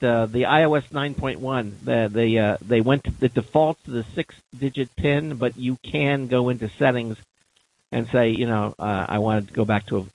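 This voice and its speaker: A man's voice: mid-range voice, no echo, poor audio. A man hosting a talk radio show, rambling and hesitant with many restarts. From Carolinas, USA. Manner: rambling, full of fillers and restarts.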